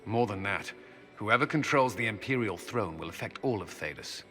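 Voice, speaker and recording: A firm, practical commander, his low voice carrying a bright, forceful edge that matches his blunt way of speaking. Faint music runs beneath the dialogue. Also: expressive, normal speed. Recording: game dialogue over music.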